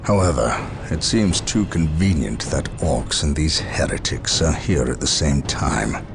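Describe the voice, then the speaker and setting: An actor playing a stern, resolute Space Marine captain in a video game, with a gravelly, authoritative, solemn delivery. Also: male voice, very deep voice, lively intonation, a little slow, music in the background, heavily acted. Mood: stern command. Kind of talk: video game cutscene acting.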